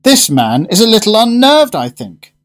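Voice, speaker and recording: A man plays a pompous and eager gentleman diner in a radio play. His delivery swings widely in pitch, fussy and exclamatory. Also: wildly swooping pitch, normal speed. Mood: brisk, puzzled. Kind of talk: radio drama acting.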